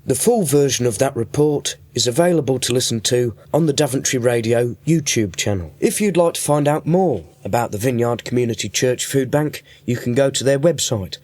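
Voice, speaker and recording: A man's voice: mid-range voice, a little mellow, dry recording. Male, radio reporter. Scripted feature narration and questions, middle register.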